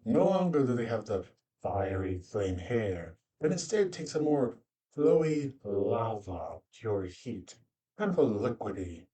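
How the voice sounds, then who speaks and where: A man narrating an informal fantasy creature guide in halting, loosely phrased explanations. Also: fairly low pitch, big pitch swings, warm tone, recorded from a distance.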